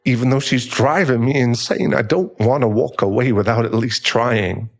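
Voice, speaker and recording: A man's voice: mid-range voice, normal speed, gravelly. Casting: male actor as remorseful bully, now a divorced dad; stammering, emotional, audio-drama delivery. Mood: weary, frustrated. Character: troubled divorced father, a remorseful bully in therapy. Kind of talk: dramatic audio roleplay acting.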